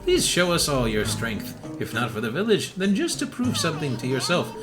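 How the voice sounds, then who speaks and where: A man's voice: on the high side, sing-song, moderate pace, voice pushed up. A man voicing an earnest, imploring old village granny who hands out quests in a video game. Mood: earnest, pleading. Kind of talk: reading game dialogue in character. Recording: music behind the voice.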